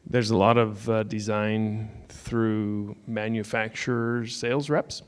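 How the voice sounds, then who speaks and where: A man's voice: on the low side, little pitch movement, takes it slowly, smooth. A man speaking on a conference panel, explaining technical points in a matter-of-fact way. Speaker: security consultant on a panel. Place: conference stage.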